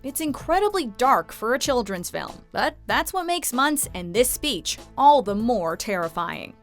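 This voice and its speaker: A woman's voice: on the high side, big pitch swings, measured pace. A young woman reading scripted countdown narration as a video host. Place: studio voice-over. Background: music.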